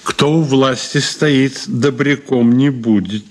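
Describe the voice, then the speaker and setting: A man's voice: medium pitch, slow. Sardonic, sly man under questioning. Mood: sardonic, taunting. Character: male detained suspect, sardonic, philosophizing, taunting. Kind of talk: Soviet radio drama acting.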